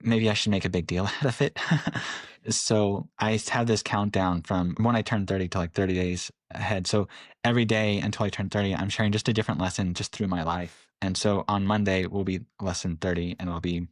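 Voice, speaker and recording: A man's voice: low voice, fairly even intonation, fairly quick, no echo, clean studio sound. A man in his late twenties, a podcast guest, talking candidly and reflectively with frequent laughs. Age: 20s. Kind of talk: casual interview.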